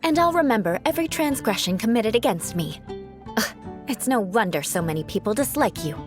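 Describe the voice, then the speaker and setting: A woman's voice: on the high side, animated, a little fast, soft background music, lightly acted. An actress playing a proud, aloof young woman knight in a video game, with a haughty, teasing manner.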